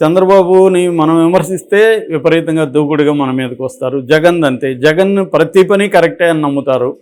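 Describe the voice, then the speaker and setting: Higher-pitched Telugu man explaining opinions. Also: normal speed, a little mellow. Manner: explanatory, argues with rhetorical questions and examples. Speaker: political and film commentator. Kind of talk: unscripted opinionated interview commentary.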